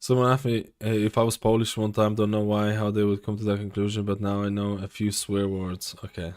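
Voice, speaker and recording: Low-voiced male game streamer, casual and opinionated. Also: level tone, brisk, darkish tone. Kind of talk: casual live gaming commentary.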